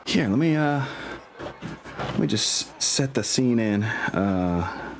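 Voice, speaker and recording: A man's voice: medium pitch, lively intonation, slow, a little hiss or hum. A man narrating a tabletop role-playing game as game master, in an informal, improvised way.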